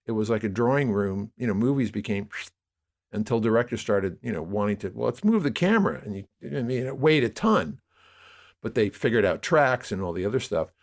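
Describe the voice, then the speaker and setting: Male podcast guest, lower-pitched voice, talks through ideas conversationally as an emphatic, discursive storyteller. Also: lively intonation, fairly quick. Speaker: podcast guest, audio drama producer. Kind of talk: conversational interview, explaining media history.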